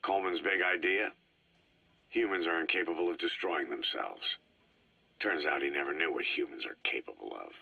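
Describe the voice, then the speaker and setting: An actor playing a grizzled, brooding legendary soldier in a video game, speaking tersely in a gravelly growl on a cassette tape. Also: male voice, a bass voice, moderate pace, phone-quality sound, heavily acted. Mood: bitter, brooding. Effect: cassette tape filter. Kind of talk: scripted dramatic game dialogue.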